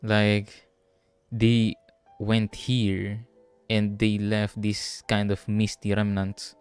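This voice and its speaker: Guy streaming a game, lowish voice, casual n sweary, music in the background. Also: monotone, drawn-out words, clean tone. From Philippines. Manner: informal, sweary. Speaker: livestreamer playing a game. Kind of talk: casual gameplay commentary.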